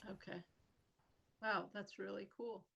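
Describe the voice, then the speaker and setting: A woman's voice: medium pitch, level tone, takes it slowly, vocal fry, fairly bright tone, breathy edge. A woman hosting a podcast interview, asking musing questions and offering short encouraging affirmations. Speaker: podcast host. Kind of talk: conversational interview.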